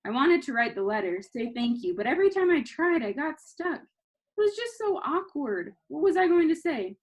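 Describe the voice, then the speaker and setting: A woman reading a children's novel aloud in an animated, expressive storytelling manner. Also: high voice, moderate pace. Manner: animated, acts out dialogue.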